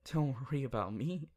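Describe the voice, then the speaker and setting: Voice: medium pitch, mellow. A performer playing a playful, anxious young male rabbit hero boyfriend in an ASMR audio roleplay, with a hesitant, giggly manner.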